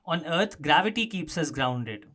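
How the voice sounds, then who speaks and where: A man's voice: on the high side, lively intonation, measured pace, sharp tone. A man presenting a scripted explanatory news story as a narrator.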